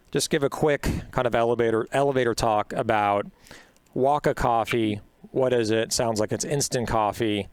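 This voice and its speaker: A man's voice: medium pitch, smooth, no room sound. A man hosting a podcast in a studio, chatty and upbeat, with plenty of uhs. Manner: chatty, upbeat, filler-heavy. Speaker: podcast host. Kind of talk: podcast interview.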